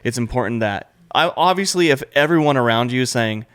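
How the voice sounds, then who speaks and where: A man's voice: medium pitch, brisk, smooth, no room sound. A young man from New Mexico co-hosting a podcast, talking casually in loose, rambling sentences with restarts. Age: young adult. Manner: relaxed, talks in loose restarts and asides. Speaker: podcast co-host. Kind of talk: casual chat with his wife.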